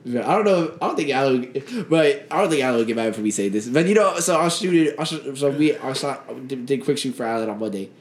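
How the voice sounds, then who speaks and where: A young man co-hosting a casual chat, telling stories in an animated way and mimicking other people. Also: quick pace, few pauses. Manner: animated storytelling, mimics others with jumps in pitch, laughs often. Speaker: podcast co-host. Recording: echoey room with faint background noise.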